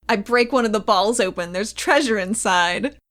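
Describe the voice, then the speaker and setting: A woman with a medium-pitched voice plays a tabletop roleplaying game. She talks in a loose, playful way, improvising what her character does in casual everyday speech. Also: measured pace, fairly bright tone.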